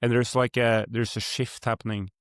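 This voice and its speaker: A man's voice: mid-range voice, little pitch movement, normal speed, clear, resonant tone, no room sound, studio quality. A young man from Norway with a Norwegian accent, hosting a podcast and musing in a rambling, reflective way with many fillers. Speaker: podcast host. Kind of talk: casual podcast conversation.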